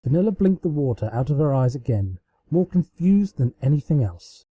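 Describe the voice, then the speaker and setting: A man reading a story aloud as an amateur narrator, with expressive scripted delivery. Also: a little high, moderate pace, breathy edge, dry recording, lo-fi sound.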